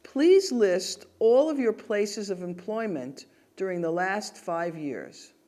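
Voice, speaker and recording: A woman's voice: medium pitch, expressive, slow, dark tone. Woman asking polite formal interview questions. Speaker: mock interviewer. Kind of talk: scripted role-play dialogue.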